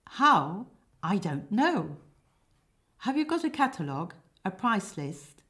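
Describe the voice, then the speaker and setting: A woman's voice: medium pitch, very animated, slow, a bit bright, pitched above the performer's own voice. A woman voicing a nervous, lovesick young man in a comic stage play, hesitant and prone to stammering. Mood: incredulous, flustered.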